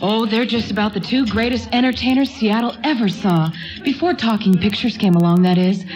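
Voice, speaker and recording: An actress playing a fond, nostalgic, gently bossy grandmother in a radio play, with a folksy way of talking. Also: medium pitch, a little fast, natural voice. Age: older.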